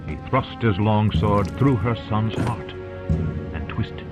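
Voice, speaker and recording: A man's voice: lowish voice, slow pace. A man narrating an audiobook as dramatic scripted storytelling. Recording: loud music behind narration. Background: loud music.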